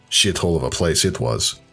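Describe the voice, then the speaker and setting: A man's voice: sits low, sing-song, measured pace, gravelly, sharp tone, breathy edge, soft background music, exaggerated voice. A man voicing a brash, foul-mouthed Irish drunken brawler in an audio drama, flippant and sardonic. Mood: bitter, reminiscing. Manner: sweary, flippant, colloquial. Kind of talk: fan fiction audio drama.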